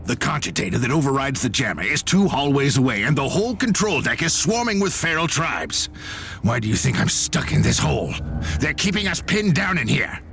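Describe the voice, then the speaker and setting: An actor playing a battle-weary military sergeant in a video game, blunt, wry and sardonic. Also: male voice, medium pitch, sing-song, fairly quick, vocal fry, slight background noise. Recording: loud music behind the voice.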